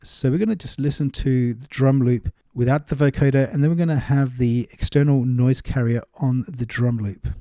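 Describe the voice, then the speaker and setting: A man's voice: medium pitch, steady tone, moderate pace, hardly any pauses, dark tone, no echo, studio quality. A man giving a casual, step-by-step music production tutorial in an informal way. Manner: informal, explains step by step while demonstrating. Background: music loops and vocal samples.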